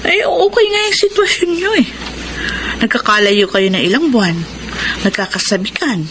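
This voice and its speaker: An actress with a Filipino accent playing a cheeky, teasing coworker and confidante in a radio drama. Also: female voice, a little high, lively intonation, normal speed, close to an everyday voice. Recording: clearly noisy with ambience.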